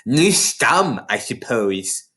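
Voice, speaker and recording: A man's voice: medium pitch, sing-song, drawn-out words, pushed and bright, heavily acted. A man acts out a big, rugged ten-year-old schoolgirl in a storybook. She is brash and boastful, pushing her words out with emphasis and savouring every grim detail. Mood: brash, taunting.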